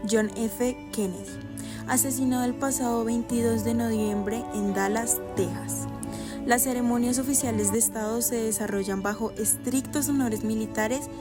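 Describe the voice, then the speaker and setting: Woman doing formal 60s radio news lady, highish voice, solemn, really loud music behind. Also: steady tone, normal speed, close to an everyday voice. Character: formal 1960s radio newsreader, a woman, reporting state mourning. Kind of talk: scripted radio news narration.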